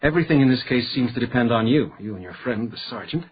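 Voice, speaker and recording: A man's voice: mid-range voice, expressive, quick pace, husky, muffled recording. A man playing an exacting, condescending magistrate in a radio crime drama, curt and formal in his speech. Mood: stern, businesslike. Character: imperious, exacting male magistrate, sarcastic and impatient. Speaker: actor in a radio detective drama.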